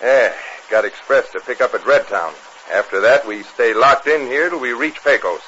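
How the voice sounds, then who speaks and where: An actor playing a friendly, folksy railroad express guard in a 1950s radio western. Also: male voice, mid-range voice, normal speed, close to an everyday voice. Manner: folksy, casual. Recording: noisy, muffled old radio recording with clicks.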